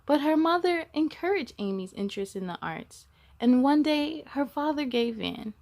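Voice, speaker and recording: High-voiced woman reading a children's book aloud. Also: sing-song, moderate pace, a little mellow. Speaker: storytime host reading a picture book aloud. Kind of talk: children's read-aloud with asides.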